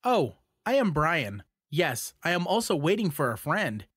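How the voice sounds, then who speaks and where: A friendly young man chats with strangers in a textbook dialogue, voiced by a woman. The voice is lowish and swings widely in pitch, with clear, plain diction. The recording is dry and close. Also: big pitch swings, a little slow, dry recording, full character voice. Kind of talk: scripted learner dialogue.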